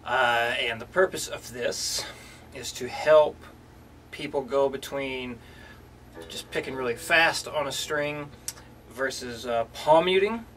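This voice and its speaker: Relaxed man teaching guitar, slightly distant. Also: medium pitch, takes it slowly, a little hiss or hum. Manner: relaxed, many fillers and restarts. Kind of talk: casual instructional talk. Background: guitar playing.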